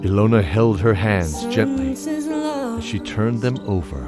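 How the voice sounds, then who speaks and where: A man's voice: mid-range voice, wildly swooping pitch, a little slow, over loud music. A man narrating a scripted fantasy audio drama. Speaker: narrator and cast member.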